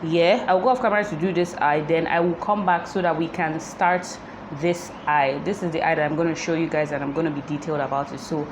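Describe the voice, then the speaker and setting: Young lady, igbo speaker, chatty makeup vlog, lowish voice, noisy background hiss. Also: fairly quick. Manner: chatty, repeats herself, laughs often. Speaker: beauty vlogger. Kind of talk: casual makeup tutorial chat.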